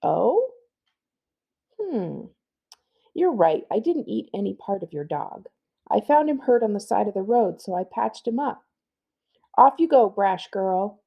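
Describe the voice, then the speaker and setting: A woman putting on a slow, dry old witch. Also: mid-range voice, sing-song, vocal fry, husky, muffled recording, full character voice. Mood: calm, dry. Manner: slow, drawn-out, teasing.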